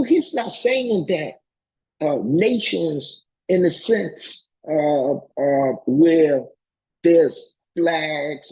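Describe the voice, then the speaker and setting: A man's voice: fairly high pitch, gravelly, mellow, husky, low-quality recording. A man giving an impassioned political lecture, reading and commenting in an emphatic, colloquial way and repeating phrases to drive them home.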